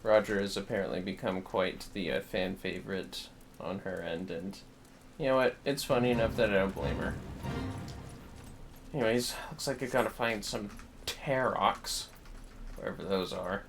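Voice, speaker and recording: A man hosting a livestream, chatting casually and thinking aloud in a rambling, halting way as he plays a game. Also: fairly low pitch, background noise. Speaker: livestream host. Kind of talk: casual chat while playing a game. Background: music.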